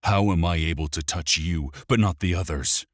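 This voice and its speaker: A man's voice: deep voice, moderate pace, no room sound, clean studio sound. A man voicing a desperate, protective warrior in an audiobook, speaking with urgent, earnest delivery. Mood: desperate shouting. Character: desperate, protective male warrior, fiercely loyal. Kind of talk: dramatic audiobook character acting.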